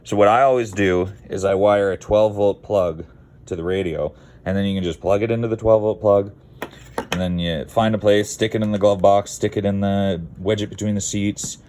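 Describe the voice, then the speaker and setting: A man's voice: lowish voice, steady tone, moderate pace, darkish tone. A Canadian man giving a casual, rambling how-to vlog in a laid-back, blunt, digressive way. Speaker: car video creator.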